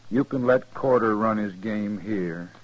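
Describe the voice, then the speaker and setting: A man's voice: lowish voice, a little slow, lo-fi sound. An actor playing a bitter, cold gunman with laconic menace in a 1950s radio western. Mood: menacing. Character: bitter, cold hired gunman, man who hates people.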